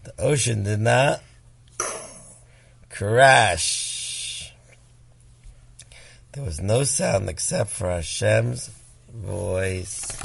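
A man reading a children's story aloud to a small child in a playful, expressive manner. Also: fairly low pitch, drawn-out words, creaky, long pauses, husky. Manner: playful, acts out animal sounds and emphatic words. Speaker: storybook reader.

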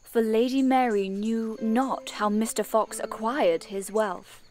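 A woman's voice: fairly high pitch, slow, darkish tone, faint music behind. A woman playing a restless, genteel sixteen-year-old girl in a period audio drama, with ornate formal diction. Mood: intrigued. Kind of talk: audio drama acting and storytelling.

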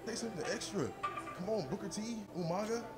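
A man's voice: high voice, quick pace. A young man vlogging a workout with friends in a large indoor gym, joking and trash-talking between sets. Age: young adult. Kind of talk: casual banter at the gym. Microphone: handheld camera nearby. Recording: gym music behind the voice. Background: music playing.

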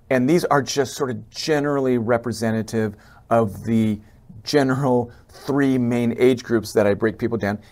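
A middle-aged man, an eye doctor, talks through a slide lecture from his office. His speech is casual and full of fillers, with frequent restarts. His voice sits at an ordinary pitch. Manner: conversational, many fillers and restarts. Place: indoor office, webcam inset over slides.

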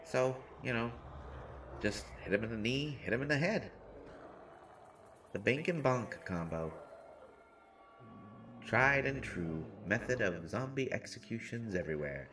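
Guy doing a tiny teen boy, nervous jokes, slow unhurried talk. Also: low-pitched, sharp tone, a little hiss or hum, heavily acted. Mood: joking. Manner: rambling, self-mocking, nervous humor. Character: short teenage boy, joking and self-deprecating. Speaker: voice actor in an audio roleplay. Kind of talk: listener-directed audio roleplay.

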